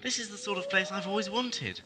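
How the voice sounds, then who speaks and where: An actor playing a friendly, naive young traveller in a sci-fi audio drama, eager and vague. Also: male voice, high voice, a little fast, lightly acted. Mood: pleased. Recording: distant voice, loud music behind.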